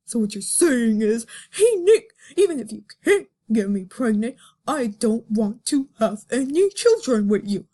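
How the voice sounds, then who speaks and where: A woman's voice: a little high, big pitch swings, unhurried, warm tone. A woman voicing a hurt, accusing grown male fox, melodramatic and reproachful, in an amateur comic reading. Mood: bitter.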